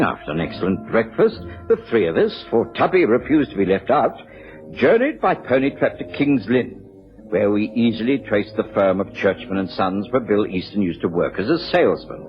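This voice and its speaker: Earnest male companion-narrator, gentlemanly radio drama storytelling, expressive intonation, dull old sound. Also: lowish voice. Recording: dull radio recording, music underneath.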